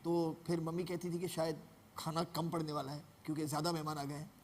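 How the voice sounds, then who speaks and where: A man's voice: on the high side, little pitch movement, fast talker. A man telling a childhood family story in a chatty, amused way, laughing as he goes. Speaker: guest on a TV entertainment show. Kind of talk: casual storytelling. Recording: loud background noise.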